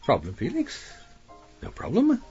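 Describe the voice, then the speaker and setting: An actor playing a smug, evasive gentleman suspect in a radio mystery, pompous and hesitant as he comes under pressure. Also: fairly high pitch, huge pitch swings, measured pace, highs cut off, loud music behind the voice. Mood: irritated. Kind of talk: radio mystery drama acting.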